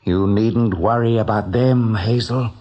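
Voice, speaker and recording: A man playing a calm, kindly, lordly rabbit spirit in a radio drama, unhurried and reassuring. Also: highs cut off. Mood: calm, inviting. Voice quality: deep, husky.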